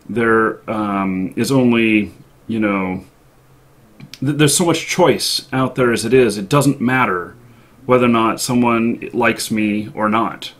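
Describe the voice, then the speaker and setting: Man, medium-pitched, casual host. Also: fairly bright tone. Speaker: show host. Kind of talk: casual live talk show chat.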